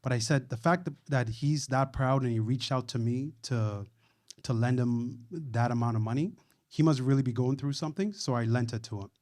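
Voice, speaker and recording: A man's voice: mid-range voice, moderate pace, a little mellow. A man on a live panel, reflectively telling a personal story and often restarting his phrases.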